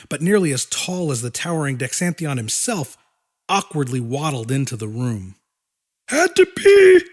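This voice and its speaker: Male audiobook narrator, mid-pitched, deliberate and dramatic reading of scripted monster horror fiction.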